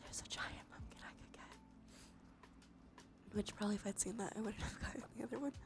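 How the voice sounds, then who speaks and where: A woman's voice: mid-range voice, a little fast, a little hiss or hum. A woman livestreamer giving whispered ASMR commentary on a game, murmuring as she thinks aloud. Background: music.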